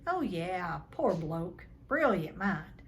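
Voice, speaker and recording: Woman playing a big, hearty, rough-spoken man. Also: lowish voice, big pitch swings, takes it slowly, a little hiss or hum, exaggerated voice. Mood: chatty, sympathetic.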